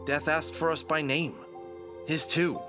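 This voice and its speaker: A man's voice: on the high side, level tone, unhurried, music in the background, close to an everyday voice. A man playing a determined fox adventurer who leads his team earnestly in an audio drama. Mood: resolute.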